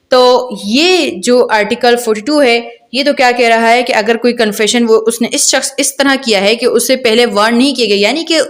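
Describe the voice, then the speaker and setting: Woman, high voice, didactic lecturer, repetitive explanatory delivery, rhetorical questions. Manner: didactic, repeats and rephrases points with rhetorical questions. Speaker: law lecturer. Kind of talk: explanatory law lecture mixing Hindi-Urdu and English.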